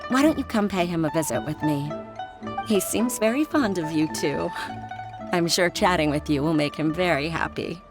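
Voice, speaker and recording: Young woman official, low-pitched, earnest, soft-spoken, game music behind. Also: lively intonation, moderate pace. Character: young woman official, worried for her father. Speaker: game character.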